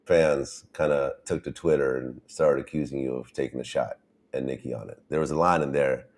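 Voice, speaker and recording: Very deep-voiced man interviewer. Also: steady tone, fairly quick, darkish tone. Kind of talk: interview questions.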